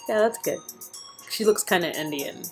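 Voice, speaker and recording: A giggly woman chatting casually as she co-reads an interactive story. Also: mid-range voice. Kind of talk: casual chat.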